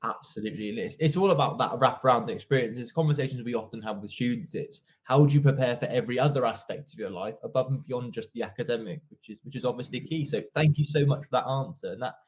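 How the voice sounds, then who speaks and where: A man's voice: mid-range voice, fast, few pauses. A young man hosting an online interview in a polite, formal, effusive way. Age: young adult. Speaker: interviewer, host. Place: indoor office, video call.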